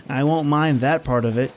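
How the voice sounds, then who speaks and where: An actor playing a sardonic young boyfriend in a radio play, making wry, wisecracking asides. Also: male voice, a little high, lightly acted. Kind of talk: old-time radio drama acting.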